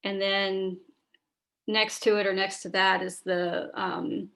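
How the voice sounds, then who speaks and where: A woman's voice: medium pitch, flat delivery, slow, gravelly, a bit bright. A woman with a tired, scratchy voice, chatting through a screen-share training on a video call, with many fillers and restarts. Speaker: school librarian leading teacher training.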